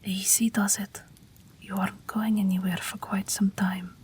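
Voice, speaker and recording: A woman's voice: mid-range voice, flat delivery. A woman voicing an ageless Russian night witch pilot, wry, tender and weary, in an intimate, whispery audio roleplay. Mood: soothing, protective. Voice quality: breathy, whispery. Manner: gentle, dryly wry, unhurried.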